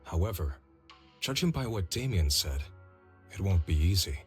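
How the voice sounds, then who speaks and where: An actor playing a steady, shrewd senior male disciple in a video game, with a low, husky voice and measured, reassuring manner. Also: faint music behind. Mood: thoughtful.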